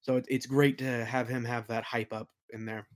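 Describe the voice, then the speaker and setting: A man's voice: medium pitch, level tone, normal speed. A man being interviewed as a game master and creator, chatting casually in an informal, enthusiastic manner. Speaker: podcast guest, game master and audio play creator.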